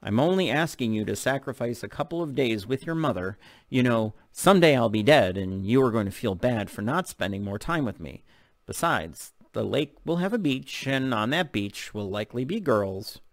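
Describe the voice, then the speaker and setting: A man's voice: mid-range voice, expressive, normal speed, no room sound, natural voice. Man voicing storybook mom, nagging, affectionate, teasing, dry close sound. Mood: coaxing. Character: aggravated, teasing, doting single mother.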